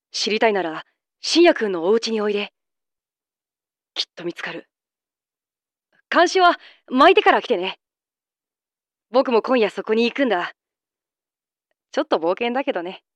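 A woman's voice: a little high, dry recording. A woman voicing a breezy, secretive, boyish reporter in a voice drama, with light, evasive, casual wording. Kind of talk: Japanese amateur voice drama acting.

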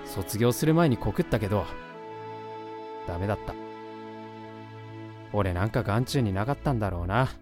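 A young man playing an awkward, self-deprecating, lovesick university student in a voice drama. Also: fairly low pitch. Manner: hesitant, earnest, blunt. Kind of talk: Japanese voice drama acting with narration.

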